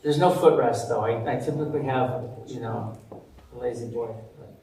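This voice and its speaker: A man's voice: mid-range voice, normal speed, dark tone. A man hosting a conference session, introducing the guest in an easygoing, joking way. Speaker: conference host. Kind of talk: casual introduction. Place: church hall. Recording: very echoey hall with crowd noise, far off.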